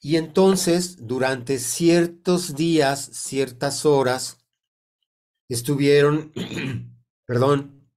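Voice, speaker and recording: A man in his sixties with a Mexican Spanish accent, congested from a cold, teaching informally over a video call in a halting, deliberate way with many pauses. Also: fairly high pitch, steady tone, a little mellow. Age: 60s. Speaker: sound therapy teacher. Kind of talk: informal explanatory online talk. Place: indoor room on a video call. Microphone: computer mic on a video call.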